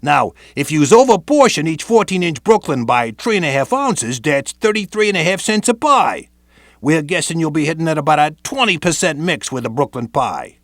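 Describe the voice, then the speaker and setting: Brief: New man, wiseguy training narrator, higher voice, dry close mic. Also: fairly bright tone, dry recording. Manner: streetwise Brooklyn tough-guy patter. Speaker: training video presenter. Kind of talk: scripted instructional narration.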